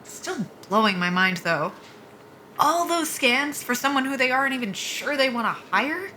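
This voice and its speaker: A woman's voice: high voice, lively intonation, normal speed, sharp tone, slight background noise. An actress playing a wry, down-on-her-luck young military pilot in a naturalistic audio drama. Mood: wry, weary.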